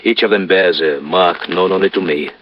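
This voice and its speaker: An actor playing an evasive French smuggler, formal and affronted, in a radio detective drama. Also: male voice, low-pitched, normal speed, lightly acted. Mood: nervous, yielding. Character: indignant, evasive French male smuggler and fence. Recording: dull, noisy vintage radio recording.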